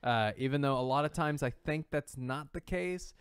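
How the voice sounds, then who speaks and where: A man co-hosting a casual podcast chat about comics and animation, haltingly repeating words as he thinks aloud. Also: mid-range voice, clean tone, no echo, clean studio sound. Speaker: podcast co-host.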